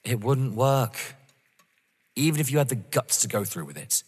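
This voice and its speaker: A man acting a defiant, contemptuous, wounded rival in an audio drama, husky and emphatic. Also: medium pitch, very animated, moderate pace. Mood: wounded defiance. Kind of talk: dramatic stage-play acting. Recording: long echoing reverb.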